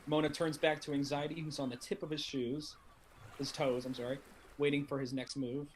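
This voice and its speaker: A man's voice: a little high, flat delivery, brisk, slight background noise, poor audio. A young adult man reading stage directions as scripted narration for a play.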